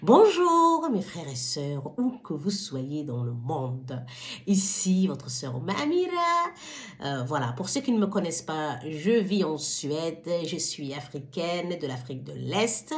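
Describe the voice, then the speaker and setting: A woman's voice: deep voice, very animated, a little slow. A woman from Somalia hosting her own wellbeing vlog, speaking to viewers in a hesitant but impassioned, exhorting way. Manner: hesitant, stumbling over words. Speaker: channel host.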